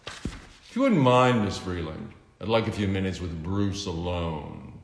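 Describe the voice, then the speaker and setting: A man voicing a smooth, scheming male billionaire villain in a grand, theatrical manner, in a roomy echoing room. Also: sits low, big pitch swings, a little slow, exaggerated voice. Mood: smug.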